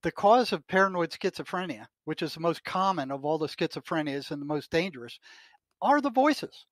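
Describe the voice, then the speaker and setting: Older guy hosting a podcast, high-ish voice, hammering his point home, dry sound. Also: animated, brisk, a bit bright, dry recording. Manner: emphatic, insistent statements. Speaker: podcast host, retired psychotherapist. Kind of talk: casual chat.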